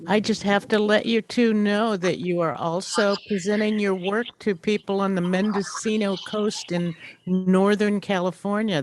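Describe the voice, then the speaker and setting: Radio host, mid-pitched voice, friendly, welcoming, faint noise behind. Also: rough recording. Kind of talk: radio show chat.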